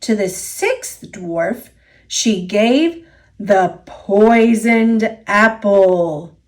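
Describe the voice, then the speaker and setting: A woman reading a children's picture book aloud as a storyteller, expressive and deliberate, drawing out key words. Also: mid-range voice. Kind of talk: children's story read-aloud, scripted narration.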